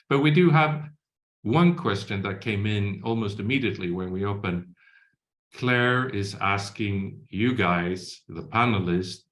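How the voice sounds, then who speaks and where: A man with a fairly low voice moderates an online Q&A on a video call. He hesitates often and fills pauses. The room around him is echoey. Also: fairly bright tone. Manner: hesitant, many fillers. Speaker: webinar moderator. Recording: roomy echo.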